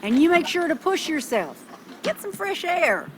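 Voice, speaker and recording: A woman's voice: very high voice, big pitch swings, moderate pace, a little hiss or hum. An actress playing a motherly older small-town policewoman in a video game, folksy and reassuring.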